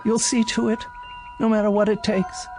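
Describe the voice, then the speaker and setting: A woman plays an anxious old lady in a radio drama. Her medium-pitched voice is fretful and hesitant, yet stubbornly insistent. Faint music sits underneath. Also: little pitch movement, moderate pace, darkish tone, natural voice. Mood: anxious, grateful. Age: older. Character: elderly, anxious, insistent woman haunted by phone calls.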